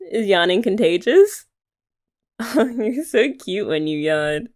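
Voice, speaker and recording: A young woman in an ASMR girlfriend roleplay, talking softly and teasingly to the listener. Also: mid-range voice, sing-song, takes it slowly. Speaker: ASMR creator.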